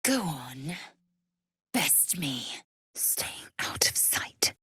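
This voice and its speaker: An actress voicing a proud, fierce, scornful female alien warrior in a video game, with a husky, raspy voice and an imperious, clipped, deliberate way of speaking. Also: deep voice, sing-song, sharp tone, no echo, in a whisper, full character voice. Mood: terse, commanding. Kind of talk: video game character voice lines.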